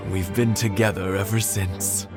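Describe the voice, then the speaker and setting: An actor playing a kindly, sentimental elderly husband in a video game, telling things in a reflective, hesitant storyteller's way over music. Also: male voice, lowish voice, steady tone, a little slow, lightly acted. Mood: nostalgic, bashful. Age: older. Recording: music under the voice.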